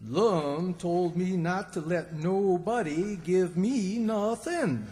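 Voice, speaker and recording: Slow, folksy old country man played by an actor. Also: sits high, a little mellow. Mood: flustered, literal. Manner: folksy, hesitant, drawn-out exclamations. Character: naive, literal-minded old country man, stubborn and wisecracking. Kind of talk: old-time radio comedy acting. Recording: hall reverb, distant stage sound.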